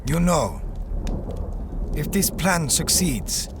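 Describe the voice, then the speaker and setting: Sardonic male sidekick, post-apocalyptic drama: lively, higher-set voice, wary, heavy background noise. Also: slow pace. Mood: calculating. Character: wary, sardonic companion of a wasteland monster hunter. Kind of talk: audio drama acting. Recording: loud rumbling background noise.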